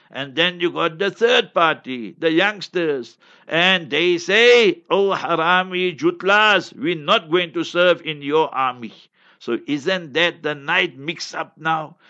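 Male cleric, scornful and blunt commentator, sharp forceful voice pitched a little high, slightly muffled lo-fi recording. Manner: acts out quotes and rhetorical questions. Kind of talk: fiery political commentary with religious quotations. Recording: dull lo-fi audio.

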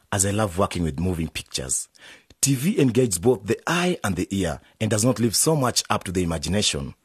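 Busy male TV director, brisk and emphatic, animated lowish voice, for radio drama. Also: husky, no room sound, clean studio sound. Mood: enthusiastic. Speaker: film director.

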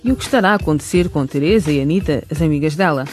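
A man works as narrator and announcer for a radio serial, reading scripted closing lines. His voice sits a little high, with soft music behind it. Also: very fast. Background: faint music.

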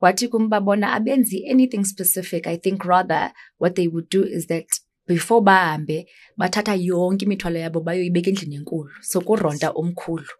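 Woman, south african accent, low voice, talk show host, easygoing chat. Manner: switches between English and isiXhosa, conversational. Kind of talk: casual interview chat.

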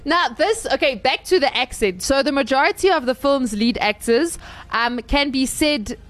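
A woman's voice: high voice, expressive, moderate pace. A woman co-hosting a movie review show, chatting casually and enthusiastically about a film, with frequent restarts.

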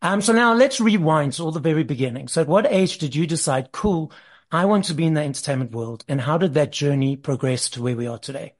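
Higher-voiced male interview host. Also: a little fast. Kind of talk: scripted intro then interview questions.

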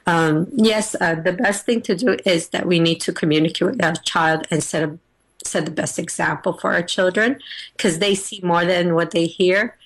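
A middle-aged woman from Afghanistan speaks as a guest on a radio show. Her voice sits a little low, and she talks in a halting way, with frequent restarts and fillers as she gathers her thoughts. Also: steady tone, slightly rough, low-quality recording. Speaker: author and teacher, guest. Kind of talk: radio interview.

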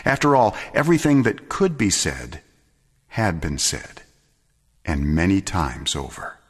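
A man giving a dramatic scripted story reading, measured and solemn, with deliberate pauses and expressive phrasing. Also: low voice, slightly rough. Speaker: storyteller, narrator.

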